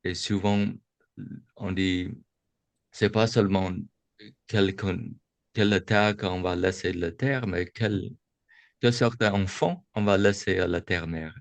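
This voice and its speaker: A man from the United States gives a reflective Buddhist talk to young adults over a video call, slow and halting, often restarting his sentences. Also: sits low, dry recording. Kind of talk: reflective dharma talk on a webinar. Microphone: video call microphone.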